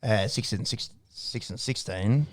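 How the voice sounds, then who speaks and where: A man's voice: on the low side, unhurried. A man co-hosting a casual sports podcast, rambling with restarts and jokes. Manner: rambling, restarts, jokey, laughs often. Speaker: podcast co-host.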